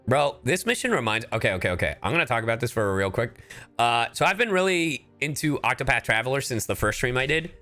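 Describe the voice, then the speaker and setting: Male live streamer, very lively pitch swings, casual sweary commentary, soft music behind. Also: fast talker. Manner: animated, sweary, tangent-prone. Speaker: game streamer. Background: game music.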